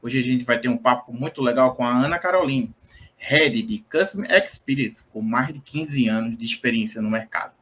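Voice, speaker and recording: A man's voice: medium pitch, moderate pace, a bit bright. A man hosting a casual interview, upbeat and playfully teasing his guest. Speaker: interview host.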